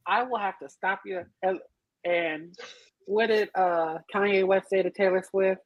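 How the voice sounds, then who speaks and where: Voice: mid-range voice, fairly even intonation, unhurried. An emphatic speaker on a casual podcast panel, telling stories expressively and swearing freely. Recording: roomy, slightly muffled sound.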